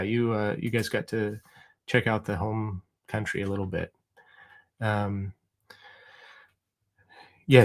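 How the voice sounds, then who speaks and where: A middle-aged man from Oregon hosting a casual livestream, reading out viewer comments and rambling with lots of ums and restarts. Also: lowish voice. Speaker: livestream host.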